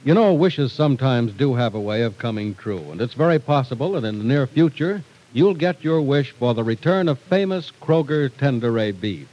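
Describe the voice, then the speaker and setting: A man announcing in a polished, deliberate 1940s radio style, reading scripted narration and sponsor copy. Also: mid-range voice, animated, dark tone, poor audio.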